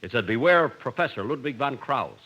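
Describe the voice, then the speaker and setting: An actor playing a suspicious, indignant jungle explorer in a 1950s radio comedy. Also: male voice, mid-range voice, big pitch swings, fairly quick, sharp tone, highs cut off. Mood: wary. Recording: very noisy old radio recording.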